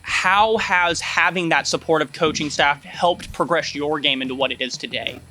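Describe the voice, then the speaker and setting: A man's voice: a little high, normal speed, sharp tone. A man hosting a sports interview show, asking questions in an upbeat manner and using "like" as filler.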